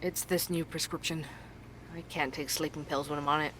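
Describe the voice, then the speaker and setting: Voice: medium pitch, flat delivery, moderate pace, fairly bright tone, a little hiss or hum, lightly acted. An actor playing a tired, earnest investigative journalist who hosts a podcast, in naturalistic audio drama. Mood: weary.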